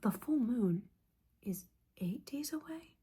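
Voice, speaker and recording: A woman's voice: a little high, lively intonation, slow pace, warm tone, breathy edge, exaggerated voice. A woman playing a disappointed, sarcastic witch mentor in an ASMR roleplay, whispering breathily in a casual, sweary, scolding manner. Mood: bossy, sarcastic.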